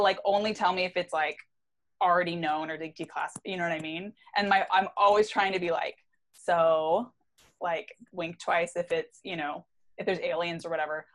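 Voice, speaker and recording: A woman's voice: on the low side, brisk. A woman co-hosting a podcast, chatting casually with lots of "like" fillers. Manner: chatty, filler-heavy with frequent "like". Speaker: podcast co-host. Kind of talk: casual chat.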